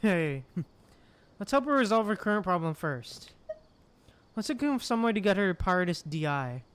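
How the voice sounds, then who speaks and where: A woman's voice: on the low side, very animated, normal speed, clear, resonant tone. A woman streaming a video game, reading dialogue aloud and reacting in a casual way.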